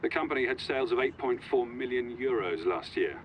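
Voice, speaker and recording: A man's voice: mid-range voice, lively intonation, brisk, heavy background noise, natural voice. An actor playing a businesslike male criminal associate in a video game cutscene, formal and matter-of-fact. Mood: formal, factual. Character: businesslike male henchman briefing a criminal board. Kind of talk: scripted briefing in game cutscene.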